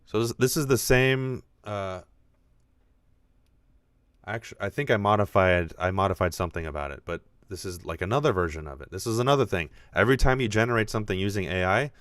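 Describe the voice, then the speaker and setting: A man with a deep, low voice talks casually on his own podcast. He gets animated and thinks aloud, often restarting his sentences. The sound is dry, with no room echo. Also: a little fast. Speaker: podcast host. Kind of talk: casual live podcast talk.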